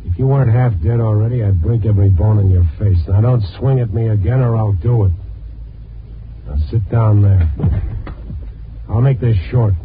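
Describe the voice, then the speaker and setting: A man's voice: on the low side, moderate pace, pauses often, background noise, natural voice. An American man playing a tough, world-weary newspaper reporter in a hardboiled 1950s radio drama. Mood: angry, threatening. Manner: hardboiled, blunt. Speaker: lead actor and narrator. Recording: tinny old 1950s radio recording, music.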